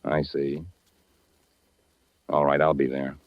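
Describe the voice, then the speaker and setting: An actor playing an affable, modest Hollywood director in a conversational 1940s radio drama style. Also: male voice, very deep voice, monotone, slow pace, noisy background. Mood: brisk, businesslike. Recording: dull vintage 1940s radio recording.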